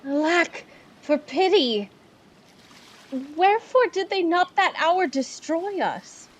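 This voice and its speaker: A woman's voice: very high-pitched, expressive, slow, heavily acted. A woman playing a dutiful, tender-hearted young daughter of an exiled duchess in Shakespearean audio drama, speaking earnestly and with exclamation. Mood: dismayed.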